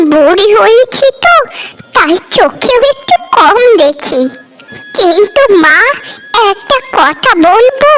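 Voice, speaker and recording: A woman voicing an old village beggar woman, pleading and preachy in a drawn-out way, in a cartoon. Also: very high-pitched, a bit bright, slight background noise, heavily acted, low-quality recording. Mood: apologetic. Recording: music behind the voice.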